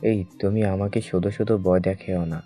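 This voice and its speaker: A young lover, played by a man in an audio drama. The voice is low and soft-edged, and the lines come out earnest and conversational. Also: steady tone, normal speed, faint music behind, natural voice. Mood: impatient, hopeful. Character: young man, eager secret boyfriend on the phone. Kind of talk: dramatised audio story dialogue.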